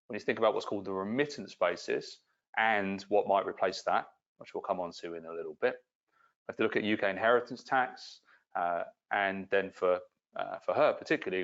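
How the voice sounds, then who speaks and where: Low-voiced man presenting a webinar. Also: a bit bright, dull audio. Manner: easygoing, with many uh fillers and restarts. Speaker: tax partner presenting.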